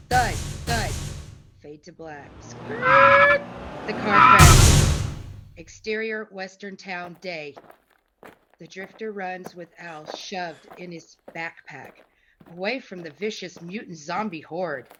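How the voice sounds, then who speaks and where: A woman with a medium pitch narrates the action and scene headings of a screenplay. Her scripted reading comes over an amateur online-call recording with clear background noise. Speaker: narrator reading stage directions.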